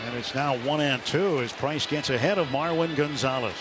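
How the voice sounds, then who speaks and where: A man calling baseball play-by-play on the radio, building excitement on big pitches. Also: normal speed, music in the background. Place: ballpark broadcast booth. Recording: radio broadcast over loud crowd noise.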